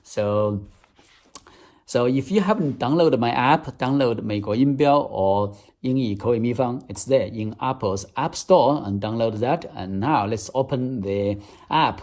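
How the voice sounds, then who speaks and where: A man speaking English with a Chinese accent walks learners through a tutorial one step at a time. He sounds earnest and methodical, often repeating a phrase as he explains. His voice sits at an ordinary, mid pitch. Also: unhurried, a little mellow. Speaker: English teacher. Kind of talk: app demonstration tutorial.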